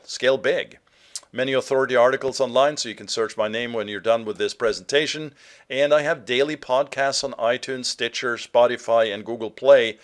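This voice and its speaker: Male webinar presenter from Norway, medium pitch, conversational sales-teaching delivery with hesitations and fillers. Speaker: presenter, digital marketer.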